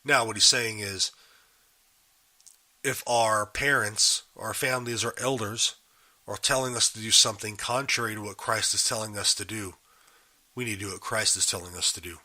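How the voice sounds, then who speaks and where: A man hosting a daily Bible podcast while getting over a cold, his voice still a little raspy, explaining a passage in earnest and putting questions to his listeners. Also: fairly low pitch, steady tone, moderate pace, bright, forceful tone. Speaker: podcast host. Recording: live and unedited.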